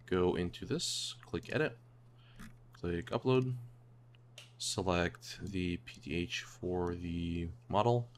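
Male tutorial host, low-pitched, informal and instructional, slight hum behind the voice. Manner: informal, step-by-step explaining. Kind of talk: casual screen tutorial narration. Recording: clear background noise and hum.

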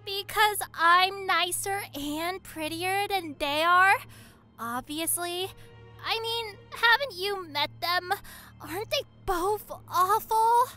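Airheaded dragon princess, extremely high bright voice, vain, gushing, haughty, audio drama. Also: female voice, slow, faint music behind, exaggerated voice. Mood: smug, vain. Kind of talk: fan audio drama acting.

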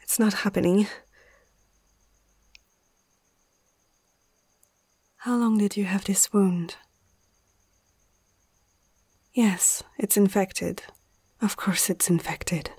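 A woman acting out a young female vet in an ASMR fantasy roleplay. She speaks slowly and hesitantly in a husky, breathy voice that often slips toward a whisper. She is nervous yet caring and firm, heard close up with a dry sound. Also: medium pitch, darkish tone, no echo. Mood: concerned, firm. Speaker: amateur ASMR roleplay performer.

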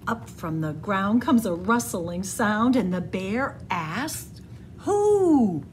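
A woman reading a picture book aloud in an animated, deliberate, sing-song storytelling style. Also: medium pitch, a little hiss or hum. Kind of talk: expressive children's read-aloud storytelling. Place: indoors, room with bookshelves.

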